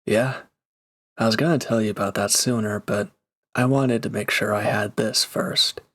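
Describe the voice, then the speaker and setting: A man's voice: on the low side, fairly even intonation, normal speed, a bit bright. A man playing a reformed villain turned mercenary in an intimate roleplay audio drama, speaking casually and hesitantly with awkward, earnest gratitude. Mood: casual, teasing, then sheepish, grateful.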